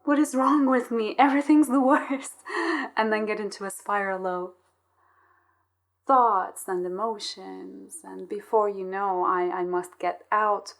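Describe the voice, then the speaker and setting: A woman's voice: medium pitch, creaky. A woman interviewing on a podcast in a conversational style, sharing personal examples.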